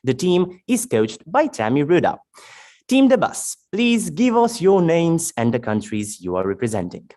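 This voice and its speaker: A man moderating a formal online debate, reading introductions and rules in a measured, announcing way over a video call. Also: fairly high pitch, huge pitch swings, warm tone.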